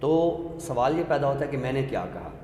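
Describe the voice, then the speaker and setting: A man explains literature as a talk show guest, measured and scholarly, often posing rhetorical questions. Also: medium pitch, expressive, mellow. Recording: echoey hall-like room with faint noise.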